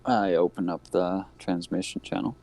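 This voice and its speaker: A man with a low, mellow voice plays a tabletop role-playing game. He slips into clipped, military-style lines in character. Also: fairly even intonation, normal speed. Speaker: player.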